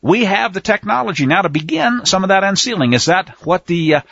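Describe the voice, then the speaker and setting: A man's voice: high-pitched, expressive, fairly quick, gravelly, fairly bright tone, slightly rough, no echo, muffled recording. A man teaching from the Bible in a folksy, emphatic way, with sarcastic asides and frequent restarts. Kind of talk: folksy scripture commentary.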